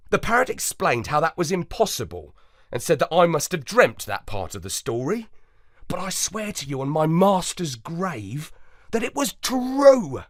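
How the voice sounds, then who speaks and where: Guy being an earnest space dog telling kids a story, slow n animated, close dry sound. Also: medium pitch, dry recording. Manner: expressive, earnest. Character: earnest, loyal male space dog telling his adventures. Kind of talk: scripted children's story narration.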